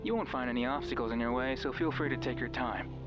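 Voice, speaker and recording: Man playing mysterious male friend on the radio, horror game, coaxing, controlling, mid-pitched, clear music underneath. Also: fast, muffled recording, lightly acted. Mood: guiding, reassuring. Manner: instructing, coaxing, addresses listener directly. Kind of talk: horror visual novel voice acting. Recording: music behind the voice.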